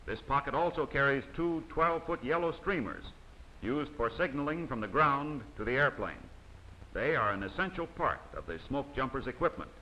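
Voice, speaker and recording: A man reading scripted narration for an instructional training film in a formal, measured, instructive manner. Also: medium pitch, lively intonation, pushed and bright, highs cut off. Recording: faint hiss of old 16mm optical soundtrack.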